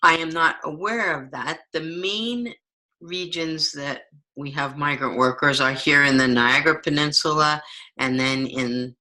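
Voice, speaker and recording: Bright-voiced woman explaining carefully. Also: sits low, unhurried, low-quality recording. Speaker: ESL teacher. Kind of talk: interview answers.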